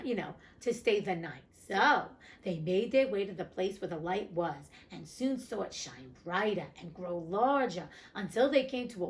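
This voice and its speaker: Chatty woman reading fairy tales. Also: medium pitch. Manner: chatty, irreverent asides with casual swearing. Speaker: host reading classic stories. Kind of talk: storybook reading with casual asides.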